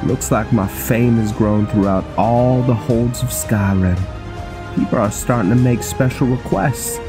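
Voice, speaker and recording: A man's voice: medium pitch, measured pace, over music, natural voice. A man playing an arrogant, flippant vampire assassin in a game roleplay, with a cocky, theatrical way of talking. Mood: boastful, wary. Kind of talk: scripted game roleplay dialogue.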